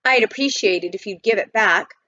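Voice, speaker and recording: A woman's voice: medium pitch, a little fast, bright, forceful tone, highs cut off, natural voice. A woman reading a children's storybook, voicing a polite, earnest and wary young boy. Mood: wary, firm. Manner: polite, plain-spoken, earnest. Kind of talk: children's storybook read aloud.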